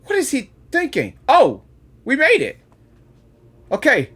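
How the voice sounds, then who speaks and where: A woman's voice: high voice, huge pitch swings, measured pace, fairly bright tone. A woman giving live gaming reaction commentary, exclamatory and often repeating phrases. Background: faint game music.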